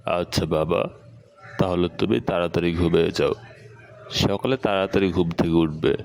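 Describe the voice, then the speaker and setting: Deep-voiced cartoon dad, male actor, gentle and coaxing, noisy track with ambient birdsong. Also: steady tone, moderate pace, creaky. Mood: gentle, caring. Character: caring, gentle middle-aged father of a schoolboy. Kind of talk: amateur educational cartoon dialogue.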